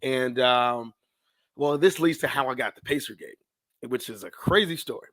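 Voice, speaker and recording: An American man in his thirties tells stories as a podcast guest. His pitch sits in the middle and the sound is dry, with no room on it. He talks casually and in slang, voicing his own past thoughts and quick exchanges as he goes. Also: fairly bright tone, no echo. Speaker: podcast guest, sports public address announcer. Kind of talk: casual storytelling in an interview.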